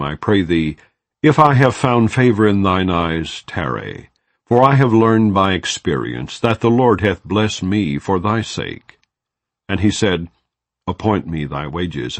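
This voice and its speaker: A man reading scripture aloud in a measured, solemn way. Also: deep voice, no room sound. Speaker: Bible reader.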